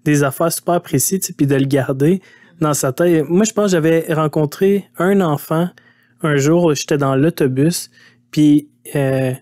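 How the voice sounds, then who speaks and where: A man's voice: fairly high pitch, normal speed, darkish tone, no echo, clean studio sound. A man with a Québécois accent hosting a podcast, talking casually and enthusiastically with many filler words. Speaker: podcast host. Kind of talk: podcast interview.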